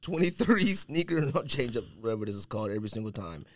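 A man's voice: lowish voice, big pitch swings, fast, dry recording. A man bantering casually on a podcast, teasing and trash-talking in loose slang. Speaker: podcast co-host. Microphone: close studio podcast mic.

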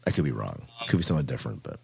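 A man's voice: rumbling low, big pitch swings, very fast, creaky, a little mellow, husky. A man hosting a casual podcast chat, often repeating and restarting his phrases as he talks. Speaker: podcast host.

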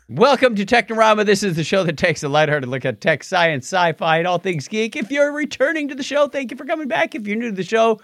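A man's voice: sits high, lively intonation, a little fast, fairly bright tone, no echo, studio quality. A man from Phoenix, Arizona co-hosting a tech podcast in casual, jokey chat, laughing often. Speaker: podcast co-host.

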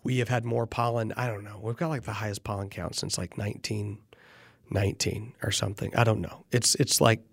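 A man's voice: fairly low pitch, fairly even intonation, a little fast, creaky, husky, no echo, studio quality. A man hosting a political podcast, talking earnestly and emphatically with a stuffy, nasal voice from allergies. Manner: earnest, emphatic, rises when fired up. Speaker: podcast host. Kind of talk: political commentary monologue.

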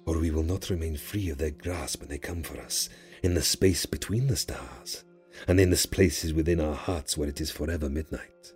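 Audiobook djinn: very low, dark-toned, proud and mocking, with grandiose delivery. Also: male voice, normal speed, soft background music. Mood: grave, ominous. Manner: theatrical, declamatory, taunting.

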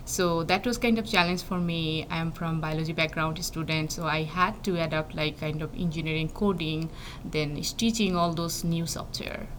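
A woman's voice: low-pitched, steady tone, normal speed, a bit bright, low-quality recording. A young woman from Bangladesh, a plant breeding PhD student, answering interview questions thoughtfully with many fillers and restarts. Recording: faint background noise.

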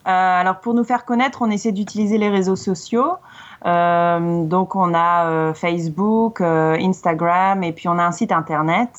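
A woman's voice: fairly low pitch, fairly even intonation, normal speed, muffled recording. A woman from northern France with a light northern French accent, speaking casually as a guest, with frequent hesitations and restarts. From Lille, northern France. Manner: hesitant, many fillers and restarts. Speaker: charity volunteer guest. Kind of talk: casual podcast interview. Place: lives in Melbourne.

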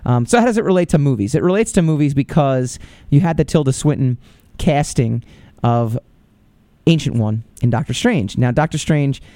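A man's voice: mid-range voice, lively intonation, normal speed, dark tone, no echo. A man hosting a podcast, giving casual, opinionated commentary with lots of fillers and restarts. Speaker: podcast host.

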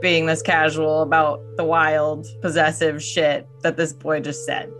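A woman's voice: low-pitched, level tone, a little slow, a bit bright, soft background music. A woman giving dry, sardonic comic commentary.

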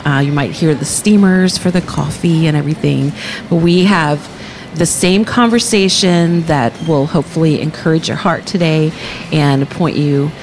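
A woman's voice: low-pitched, normal speed. Podcast host woman in noisy café. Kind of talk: casual faith interview. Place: coffee shop. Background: café chatter and music.

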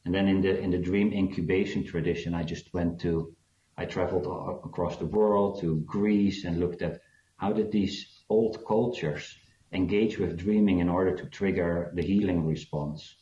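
A man's voice: low-pitched, steady tone, moderate pace, mellow. A man from South Africa, a guest author, answering interview questions in a hesitant, reflective way with many fillers. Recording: dull, roomy sound.

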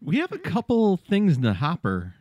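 Lively male co-host, swinging pitch. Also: moderate pace, no room sound, highs cut off. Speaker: podcast co-host. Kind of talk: casual chat, short interjections.

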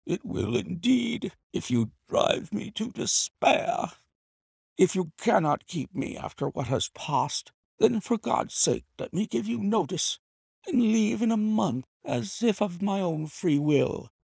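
A proud, desperate butler pleads in a hoarse, strained voice pushed high above the reader's own, as a man puts him on for the audiobook. The tone is raw and husky, with a dry, close sound. He sounds cornered and frantic, clinging to his dignity. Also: lively intonation, slow pace, a little mellow, dry recording, heavily acted. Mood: pleading. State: hoarse with emotion. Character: desperate proud middle-aged male butler. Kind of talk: audiobook character voice.

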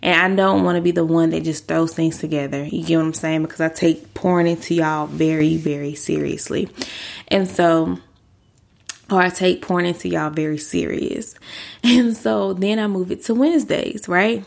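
Pregnant young woman podcasting, kinda low and gravelly, a bit winded, chatty and earnest. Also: normal speed. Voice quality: deeper than usual. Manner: earnest, chatty, uses y'all. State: pregnant, a little out of breath. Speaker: podcast host. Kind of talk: casual faith-based podcast talk.

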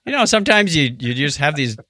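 A male podcast co-host with a lively, swooping delivery and a bright, forward voice. He chats casually, in a wry and joking way, with a touch of disbelief. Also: a little high, quick pace, dry recording, clean studio sound.

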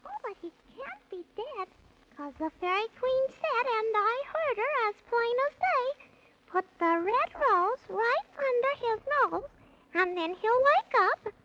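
A woman playing a small, excitable, bossy magical creature who talks in singsong rhyme in a 1930s children's radio serial. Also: a little slow. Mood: nervous excitement. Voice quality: squeaky. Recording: noisy, muffled 1930s radio recording.

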